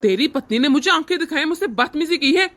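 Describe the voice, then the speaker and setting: A woman's voice: high-pitched, animated, quick pace, fairly bright tone. A woman voicing a harsh, domineering older man in a cartoon family drama, scornful and threatening. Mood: contemptuous. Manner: scornful, threatening, barking orders.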